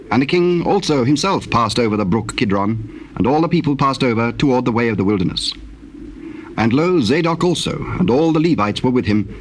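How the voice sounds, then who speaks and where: A man with a British accent narrating scripture in a formal, measured, solemn storytelling style. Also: mid-range voice. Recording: noisy old cassette tape with ambience.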